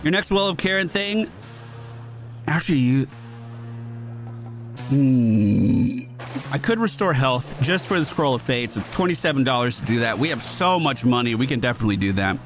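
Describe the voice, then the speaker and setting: A man giving casual gameplay commentary in an excitable way, given to swearing when thrilled. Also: mid-range voice, moderate pace, long pauses. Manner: excitable, profane exclamations. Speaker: gaming video host. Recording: game music behind voice. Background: video game music.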